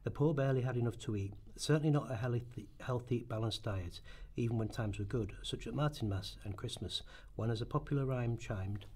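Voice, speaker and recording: A man narrates history from a script for a radio play. He reads quickly, in a mid-pitched voice with a rough, husky edge. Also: mellow.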